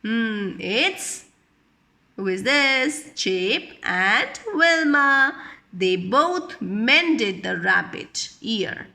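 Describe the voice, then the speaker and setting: A woman telling a picture-book story to young children in an expressive, teacherly way, repeating phrases and asking simple questions. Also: on the high side, drawn-out words, vocal fry.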